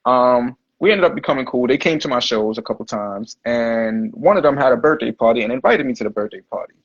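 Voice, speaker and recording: A man's voice: mid-range voice, expressive, brisk, breathy edge, lo-fi sound. A man hosting a livestream podcast, telling stories in a laid-back, slangy way full of "like" and "you know". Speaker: podcast host. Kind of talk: casual livestream interview storytelling.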